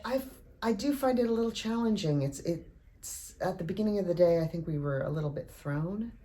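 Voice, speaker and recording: A woman's voice: on the low side, expressive, fairly quick, warm tone. A woman actor talking reflectively in an interview.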